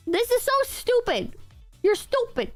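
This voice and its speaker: A woman streamer with a nasal, stuffy-nosed voice and a sore throat from a cold, chatting excitably and yelling and swearing in bursts. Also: extremely high pitch, unhurried, no room sound, faint music behind. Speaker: streamer reading out online reviews. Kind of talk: casual livestream chat.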